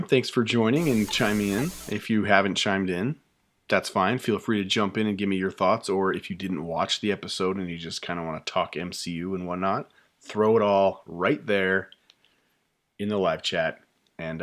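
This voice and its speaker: A man's voice: on the low side, fairly bright tone. A man hosting a live reaction stream, chatting casually with lots of fillers and restarts. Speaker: YouTube host reacting to a show.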